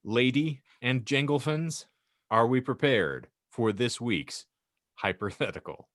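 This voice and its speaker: A man's voice: medium pitch, animated, measured pace, a bit bright, no echo, studio quality. A man hosting a casual comedy podcast with mock-grandiose, wordy phrasing. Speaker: podcast host.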